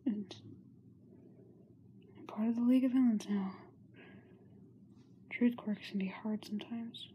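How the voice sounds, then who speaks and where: A young woman playing a frightened, self-doubting young singer in a halting diary monologue, often dropping to a whisper. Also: fairly high pitch, steady tone, muffled recording, natural voice. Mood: weary, downcast. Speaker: amateur voice actor. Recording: noisy makeshift amateur microphone recording.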